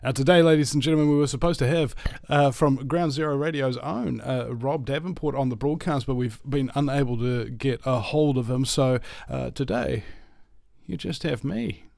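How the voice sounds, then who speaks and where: A man's voice: medium pitch, moderate pace, gravelly, darkish tone, no echo. Solo talk host: man from New Zealand, mid-range voice, rambling jokey patter, dry studio sound. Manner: rambling, jokey, many fillers. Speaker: radio host. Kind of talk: solo talk radio monologue.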